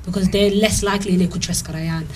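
A young woman on a studio panel, talking quickly and switching between English and Somali within sentences. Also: on the low side, fairly even intonation, a little mellow, a little hiss or hum. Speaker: panel guest. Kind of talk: panel discussion. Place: TV studio, indoors. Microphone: handheld mic.

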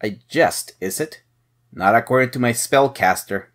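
An actor playing a shrewd, suspicious head agent, probing and mocking, in an audio drama. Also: male voice, mid-range voice, moderate pace, fairly bright tone, natural voice. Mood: accusing. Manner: probing, sardonic.

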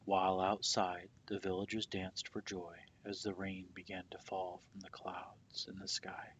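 A man tells a children's story in a low voice at a very slow pace. His phrasing is deliberate and dramatic, easing into soft endings. There is a slight rumbling noise in the recording. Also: monotone. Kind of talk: children's picture book read-aloud.

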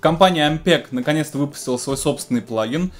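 A man's voice: on the high side, quick pace. Man hosting music gear news over music. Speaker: host of a music technology news show. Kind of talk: news roundup to camera, semi-scripted casual talk. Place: small home studio room with keyboards.

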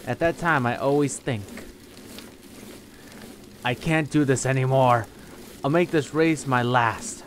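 A young man hosting a game playthrough, chatting casually and reading the game's text aloud in a steady voice. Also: mid-range voice, slow pace, long pauses, a little hiss or hum. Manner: reads text in a flat steady voice, breaks into laughter. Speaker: let's play host.

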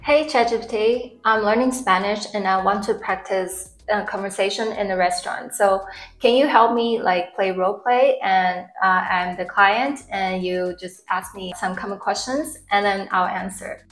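A woman's voice: mid-range voice, moderate pace, a bit bright, faint music behind. A young woman hosting an upbeat explainer video, talking to camera. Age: young adult. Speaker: tech tips video host. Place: living room, indoors.

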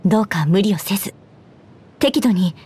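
A woman voicing an earnest, dutiful young knight commander in a Japanese game, speaking in a formal, measured way. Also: mid-range voice, expressive, a little mellow, husky. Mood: resolute, earnest. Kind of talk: Japanese game character voice lines. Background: background music.

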